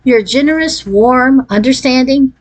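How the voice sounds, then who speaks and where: A woman's voice: high-pitched, unhurried, voice pushed up. A woman voicing a trendy, self-assured young girl from California in a children's storybook, breezy and casually boastful. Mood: confident, advising.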